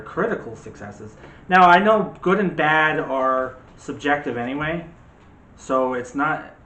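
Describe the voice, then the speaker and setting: A man's voice: mid-range voice, pushed and bright. A man talks movies as a casual co-host, bantering and joking as he goes. His mid-range voice sits in a roomy, echoey space with a little noise behind it. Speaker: co-host of a movie discussion show. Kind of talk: casual chat about movies. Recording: roomy echo with light background noise.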